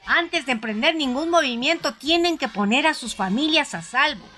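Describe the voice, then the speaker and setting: High, bright-voiced woman narrating a story. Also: expressive, moderate pace. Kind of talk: dramatized first-person audiobook narration. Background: music.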